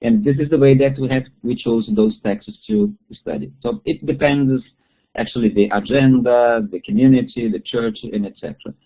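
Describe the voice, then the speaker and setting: Non-native English-speaking man, husky, halting delivery, tinny call line, webinar guest. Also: medium pitch. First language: not English. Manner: halting, many restarts and repeated words. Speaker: guest speaker, Bible study facilitator. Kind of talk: webinar talk answering questions. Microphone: video call. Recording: tinny online call audio.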